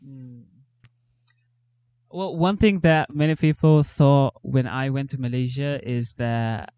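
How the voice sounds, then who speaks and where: A man's voice: mid-range voice, steady tone, slow, clean tone, dry recording. Young man, muffled dry call audio. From Saudi Arabia. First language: Tamil. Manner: thoughtful, lots of fillers and restarts. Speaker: podcast guest. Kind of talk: casual conversation.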